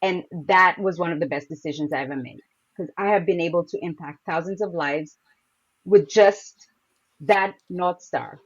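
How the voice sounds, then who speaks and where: A woman from Kenya, a podcast guest, talking about her journey in a soft, humble way with light laughs. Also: lowish voice, moderate pace, breathy edge, lo-fi sound. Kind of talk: casual interview.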